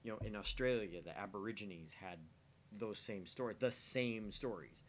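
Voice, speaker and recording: A man's voice: fairly low pitch, normal speed, a little hiss or hum. A man co-hosting a game stream, casually explaining his opinions at length and repeating phrases to make his point. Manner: explains at length with repetition and restarts. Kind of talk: casual chat, opinionated musing.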